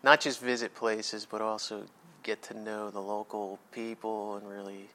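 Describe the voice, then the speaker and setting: A man from New Jersey in his thirties, answering interview questions in an easygoing, measured way. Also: fairly low pitch, flat delivery, sharp tone, slight background noise. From New Jersey, USA. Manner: easygoing, measured, some hesitations. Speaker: guest.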